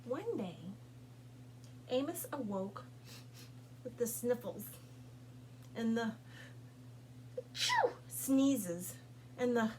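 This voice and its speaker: A woman reading a children's picture book aloud as a storytime host, with deliberate, expressive phrasing and pauses for young listeners. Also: a little high, a little hiss or hum. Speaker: storytime reader at a public library.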